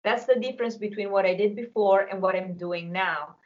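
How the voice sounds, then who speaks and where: An Italian woman in her forties speaks English with an Italian accent, explaining singing diction over a video call from a home music room. She picks her words carefully and pauses to think. Her voice comes through dull and lo-fi. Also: mid-range voice. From Italy. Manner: thoughtful, explains carefully with some hesitation. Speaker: singing teacher. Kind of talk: casual video call discussion.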